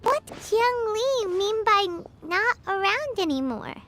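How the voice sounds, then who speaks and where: Very high, squeaky little worried companion. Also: female voice, slow pace, soft background music, exaggerated voice. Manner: squeaky, excitable questioning. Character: small, earnest, worried childlike companion. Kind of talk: animated game character acting.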